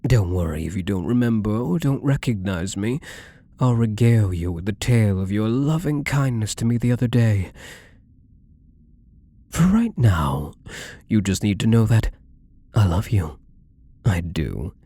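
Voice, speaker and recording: A man's voice: on the low side, very animated, unhurried, pauses often, mellow, full character voice. A man playing a wealthy, obsessively devoted and controlling gambler in an intimate ASMR roleplay, with doting, menacingly tender delivery and formal wording. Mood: adoring, confessional.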